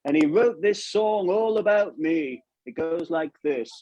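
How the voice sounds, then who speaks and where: A man voicing a jolly, genteel puppet old chap with a drawn-out, chummy delivery. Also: fairly high pitch, a little mellow, poor audio. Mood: jolly, playful. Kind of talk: live puppet show character voice.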